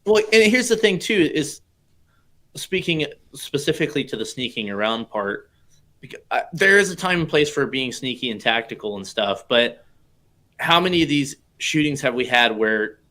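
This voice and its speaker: A man with a bright, forward voice co-hosts a casual livestream. He asks questions and talks his ideas through aloud at a middle pitch. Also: sing-song, moderate pace.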